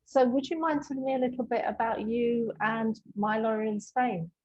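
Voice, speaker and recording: A woman's voice: fairly high pitch, steady tone, normal speed, a little mellow. A woman hosting an informal interview, putting questions to her guest. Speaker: interviewer, host. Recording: dull, muffled lo-fi sound.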